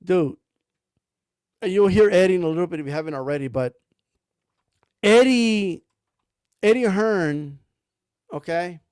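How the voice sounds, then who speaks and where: A man's voice: sits high, lively intonation, a little slow, long pauses, a little mellow, clear, resonant tone, no echo, clean studio sound. A man giving blunt, rambling commentary about boxing, full of slang and cursing. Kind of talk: casual ranting commentary.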